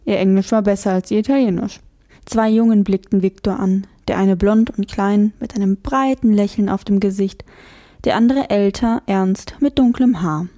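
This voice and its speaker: A woman reading a children's novel aloud as the narrator, expressive and lively. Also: medium pitch, normal speed. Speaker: audiobook narrator. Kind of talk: scripted storybook narration.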